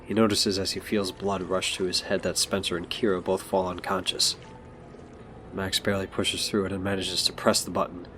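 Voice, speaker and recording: A man's voice: sits low, level tone, normal speed, fairly bright tone, slight background noise. A man delivering scripted narration as the narrator of an audio drama.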